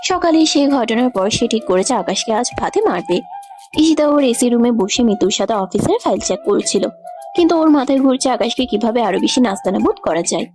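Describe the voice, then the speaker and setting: A woman's voice: a little high, animated, a little fast, a little mellow. A woman reading scripted narration for a romantic audio story. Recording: background music under the voice.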